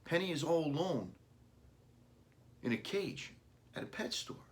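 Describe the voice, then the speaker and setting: A man acts out a sad young boy from a children's storybook. The voice is pushed higher, with a plaintive, earnest plea in it, as a disappointed ten-year-old would speak. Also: animated, unhurried. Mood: worried.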